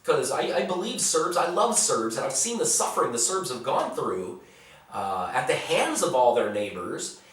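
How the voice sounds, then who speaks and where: Man, blunt and rambling, lively up-and-down pitch, very echoey room, standing back from the mic. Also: moderate pace, far from the mic, slight background noise. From United States. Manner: rambling, blunt, swears freely, many repeated words and fillers. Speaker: vlogger answering viewer questions. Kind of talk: casual vlog monologue.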